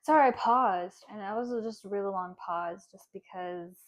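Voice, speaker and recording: A woman giving a casual tarot reading, speaking softly and comfortingly, with halting pauses and fillers. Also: mid-range voice, dull audio. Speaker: tarot card reader.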